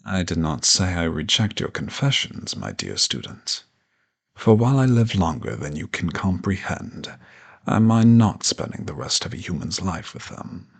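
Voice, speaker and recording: A very low, husky dragon, played by a man, lecturing as a haughty old professor in an audio roleplay. His delivery is pompous and ornately formal, swinging widely in pitch with condescending grandeur. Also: big pitch swings, normal speed, heavily acted. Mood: condescending, softening. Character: haughty ancient dragon professor, male, condescending yet flirtatious. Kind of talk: scripted audio roleplay monologue addressed to the listener.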